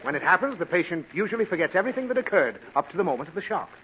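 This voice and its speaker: A man's voice: high-pitched, big pitch swings, very fast, a bit bright. An actor playing an exasperated, easily flustered father, fussy and emphatic, in 1940s radio comedy style. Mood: explaining. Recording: scratchy 1940s radio, narrow and noisy.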